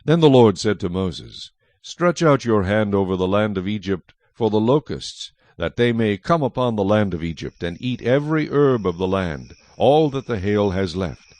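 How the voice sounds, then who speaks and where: A man narrating a dramatized audio Bible in a measured, solemn storytelling style. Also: fairly low pitch, expressive, a little mellow, no echo. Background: music.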